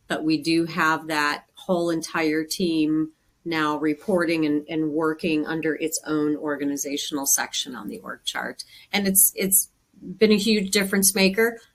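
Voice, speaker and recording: Woman, very low voice, conversational, webinar panelist, many fillers. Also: fairly bright tone.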